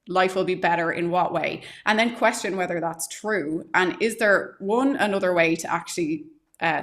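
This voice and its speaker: Woman coach, lowish voice, thoughtful step-by-step explainer, remote call. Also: fairly bright tone. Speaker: trading psychology coach. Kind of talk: live-stream advice talk.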